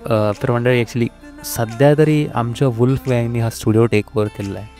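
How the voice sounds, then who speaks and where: A man's voice: mid-range voice, steady tone, a little fast, mellow, soft background music, natural voice. A man playing a cocky, sarcastic wolf who hosts a comedy podcast, mocking and hesitant, mixing in English words. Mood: smug. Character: cocky, sarcastic male wolf podcast host, secretly cowardly. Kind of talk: scripted comedy podcast monologue.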